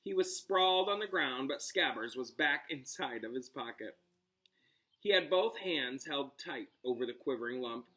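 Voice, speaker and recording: A man reading a storybook aloud like a teacher, expressive and dramatic, pausing on key words. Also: mid-range voice.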